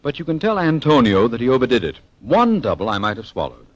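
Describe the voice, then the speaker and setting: An actor playing a suave, wisecracking American adventurer in an old-time radio drama, with an American accent and breezy, wry banter. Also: male voice, medium pitch, huge pitch swings, quick pace, lo-fi sound. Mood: amused.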